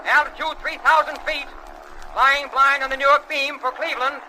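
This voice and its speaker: A man's voice: extremely high pitch, steady tone, moderate pace, pushed and bright, a little hiss or hum, heavily acted, rough recording. A man playing a cocky, reckless veteran pilot in a vintage aviation drama, talking in clipped, commanding slang. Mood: urgent, brave.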